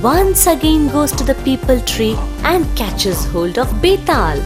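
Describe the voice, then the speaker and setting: A woman reading scripted narration for children's animated stories. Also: sits high, unhurried, over loud music.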